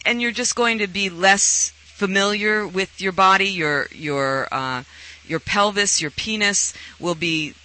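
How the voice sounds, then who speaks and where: A man's voice: sits high, bright, forceful tone, poor audio. A man hosting a call-in talk show, giving advice in a conversational style.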